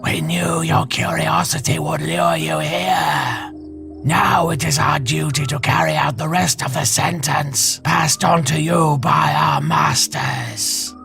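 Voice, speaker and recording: An eerie scarecrow creature from a comic dub. The voice hisses and breathes, slow and menacing, with formal wording and music underneath. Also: male voice, on the high side, fairly even intonation, creaky, pushed and bright, voice pushed up, heavily acted. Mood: ominous. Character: eerie living scarecrows serving alien masters.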